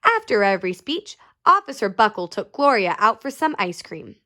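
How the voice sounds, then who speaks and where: A woman's voice: mid-range voice, very animated, normal speed, sharp tone. A woman reading a children's picture book aloud expressively, phrase by phrase, with dramatic emphasis. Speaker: storybook reader.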